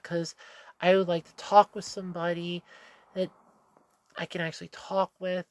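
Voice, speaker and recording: A woman's voice: fairly low pitch, no echo. A woman with a stuffy nose talking to camera in a candid, meandering vlog monologue, correcting herself as she goes, recorded in a room at home. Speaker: vlogger. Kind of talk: casual rambling monologue.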